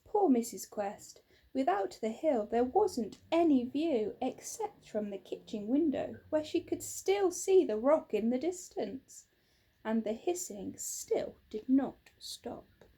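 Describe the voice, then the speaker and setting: A woman narrating a children's storybook in a measured, expressive way. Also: high-pitched, breathy edge. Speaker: storybook reader.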